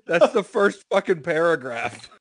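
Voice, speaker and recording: A man's voice: a little high, sing-song, slow pace, no room sound. Man reading aloud with profane banter, dry sound. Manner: informal, profane. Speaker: podcast co-host reading a letter aloud.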